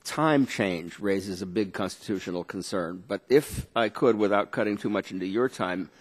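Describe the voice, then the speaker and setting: A man's voice: on the low side, normal speed. A man testifying as a law professor at a formal hearing, emphatic, assertive and articulate as he makes his argument. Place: legislative hearing room. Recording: long reverb of a hearing room.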